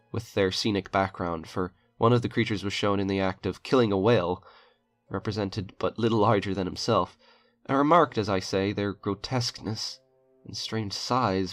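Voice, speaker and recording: A man narrating an eerie short story in a dramatic audiobook style, as a storyteller reading aloud. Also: on the low side. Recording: music under the voice. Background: eerie background music.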